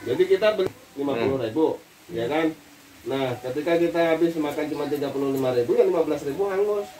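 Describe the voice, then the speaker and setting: Higher-voiced man, distant from the microphone. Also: fast talker, mellow. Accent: Jakarta-area Indonesian. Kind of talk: casual banter among colleagues. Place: open-sided hilltop cafe. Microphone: phone camera from across the table. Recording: noisy, distant phone-camera pickup outdoors. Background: birds, ambient noise.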